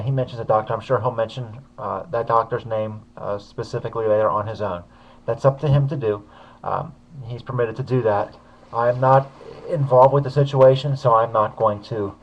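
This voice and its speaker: Hesitant low-voiced man, muffled sound. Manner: hesitant, many fillers and restarts. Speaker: uploader commenting on a video. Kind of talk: casual spoken commentary.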